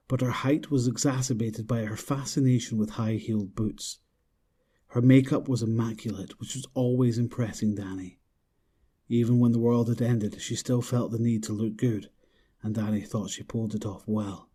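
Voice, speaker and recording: A man's voice: mid-range voice, mellow, husky. A man reading scripted narration for a horror audio drama.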